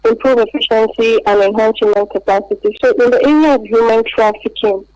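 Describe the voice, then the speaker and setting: A woman's voice: a little high, fairly even intonation, a little fast, a little mellow, rough recording. A woman explaining things as an expert guest calling in to a radio interview by phone. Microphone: phone call.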